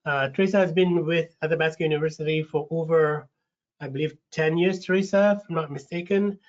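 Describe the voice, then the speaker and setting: A man with a slight rasp from a cold hosts an online info session, friendly, with lots of ums and restarts. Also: a little high, brisk. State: getting over a cold, a bit raspy. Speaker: webinar host, recruitment manager. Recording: dull lo-fi webinar audio.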